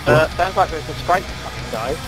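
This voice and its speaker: An actor playing a gruff, cocky soldier in a zombie video game, calling out short brash lines. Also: male voice, a little high, moderate pace, loud music behind the voice, natural voice, poor audio. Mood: urgent. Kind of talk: video game character barks.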